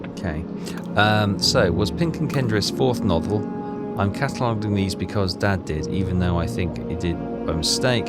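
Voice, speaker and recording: A man giving casual playthrough commentary, reading game text aloud and muttering hesitantly to himself as he puzzles things out. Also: sits low. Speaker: gameplay commentator. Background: game music.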